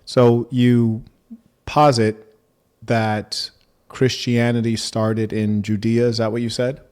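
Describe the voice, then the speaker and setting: A man hosting a debate, speaking in a measured, formal manner and asking probing questions. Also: mid-range voice, level tone, a little mellow, clean tone. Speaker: debate host.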